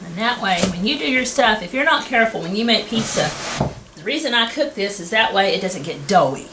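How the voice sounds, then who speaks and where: A woman in her thirties talks through a recipe in a plain, homey, unhurried way. Her voice is of ordinary middle pitch. It comes through a home video camera mic in a rustic wooden kitchen, with steady background noise and the clatter of pots and dishes. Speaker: home cooking show host. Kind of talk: step-by-step cooking demonstration.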